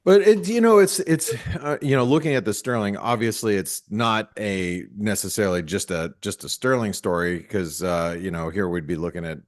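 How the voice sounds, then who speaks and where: A man co-hosting a webinar, talking casually about markets in a relaxed, rambling way with many fillers. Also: lowish voice, moderate pace, few pauses. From Taiwan-born American. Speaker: webinar co-host.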